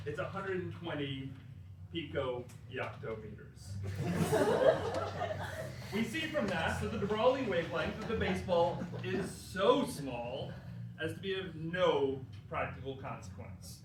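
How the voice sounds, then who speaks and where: A man performs a dramatic reading in a theater, his voice high and very animated. He moves haltingly through the text with mock-dramatic weight and deliberate pauses. He is heard from far off in the room. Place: indoor theater, live performance. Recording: noisy, echoey theater, recorded from far off.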